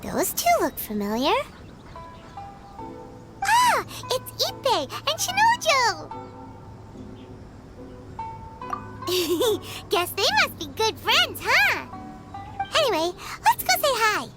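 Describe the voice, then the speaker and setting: A woman voicing a small, cheerful, childlike fairy companion in an anime game, with a squeaky, bubbly, exclamatory delivery. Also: wildly swooping pitch, slow pace, full character voice. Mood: friendly, curious. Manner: bubbly, exclamatory, refers to self in third person. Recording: music behind the voice.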